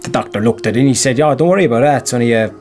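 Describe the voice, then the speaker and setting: An actor playing an anxious, earnest screenwriter in an audio drama, hesitant, stammering and repeating himself. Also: male voice, mid-range voice, racing, a little mellow, faint music behind, close to an everyday voice. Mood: confiding. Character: anxious, pleading young male screenwriter, earnest and flustered.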